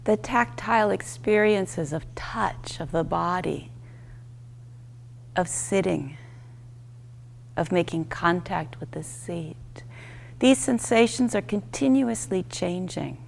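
Slow, low-voiced woman guiding meditation. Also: animated, vocal fry, a little hiss or hum. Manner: unhurried, gentle instruction with short spaced phrases. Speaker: meditation teacher. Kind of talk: guided meditation.

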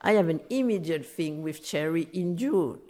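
A woman with a French accent giving a gentle, explanatory talk on meditation as a teacher. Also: on the low side, expressive. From France. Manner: gentle, explanatory, repeats phrases, slow in places. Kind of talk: dharma talk. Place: meditation center.

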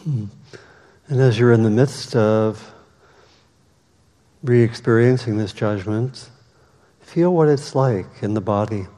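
A man leading a guided meditation as the teacher, speaking in a measured way with long pauses. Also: medium pitch, dark tone. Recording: long reverberant hall echo.

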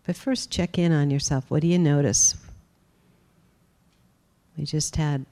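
Mellow, low-voiced woman guiding meditation. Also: steady tone. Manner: gentle, unhurried, with long pauses. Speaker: meditation teacher. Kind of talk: guided meditation.

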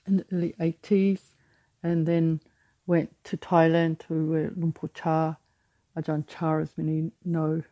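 A woman in her sixties giving a dharma talk as a retreat teacher, reflective and halting, often restarting her sentences. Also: sits low, dark tone, dry recording, rough recording.

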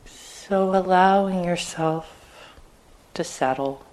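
A woman teaching guided meditation, speaking gently and leaving long pauses between short phrases. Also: on the low side, low-quality recording. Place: meditation retreat center.

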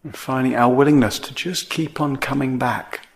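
A man teaching a guided meditation in measured phrases, with long pauses between them. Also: medium pitch, fairly even intonation, creaky, slight background noise.

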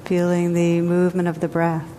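A woman teacher guiding a group meditation in a gentle, unhurried way with long pauses. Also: monotone. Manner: slow, gentle, spacious pauses. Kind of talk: guided meditation. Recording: long hall reverb, faint rumble.

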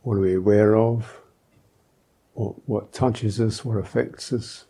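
Slow, dark-voiced man guiding meditation. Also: on the low side, steady tone, creaky, slightly rough. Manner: gentle, unhurried, thoughtful with long pauses. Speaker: Buddhist monk, meditation teacher. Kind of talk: guided meditation instruction.

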